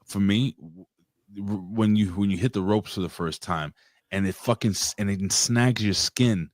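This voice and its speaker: Deep-voiced man in his forties, swearing. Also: moderate pace, no echo, clean studio sound. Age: 40s. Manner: profane, rambling storyteller with many restarts. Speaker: guest. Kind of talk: casual chat.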